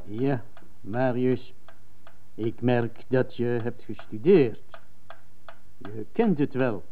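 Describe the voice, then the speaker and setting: An actress in a radio drama playing a strict, condescending piano teacher who lectures emphatically. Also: female voice, a bass voice, takes it slowly, exaggerated voice. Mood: critical. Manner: emphatic, lecturing, repeats for effect. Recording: thin phone-like radio tape.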